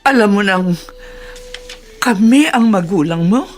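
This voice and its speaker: A woman's voice: mid-range voice, lively intonation, unhurried, soft background music, lightly acted. A woman playing an uneasy middle-aged mother in a Filipino radio drama.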